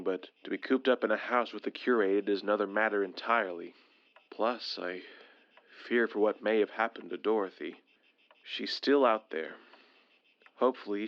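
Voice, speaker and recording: A man's voice: lowish voice, muffled recording, lightly acted. A man playing an anxious, frustrated survivor of an alien invasion, formal and earnest, in an audio drama. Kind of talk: dramatic audio drama acting with journal narration.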